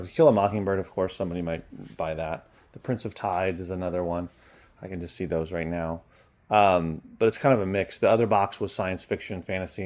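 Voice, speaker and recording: A man's voice: deep voice, darkish tone. A man talking casually and off the cuff as a reseller, rambling along with trailing asides. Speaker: online reseller and bookstore owner. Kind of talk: casual chat.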